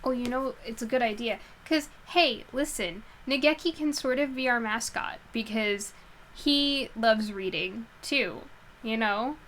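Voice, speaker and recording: A woman's voice: fairly high pitch, measured pace, fairly bright tone, slight background noise. Chatty woman, a little nasal. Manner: rambling, chatty, laughs easily. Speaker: live stream host and book reader. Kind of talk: casual chat with viewers before a reading. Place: at home in her bedroom. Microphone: headset while wearing headphones.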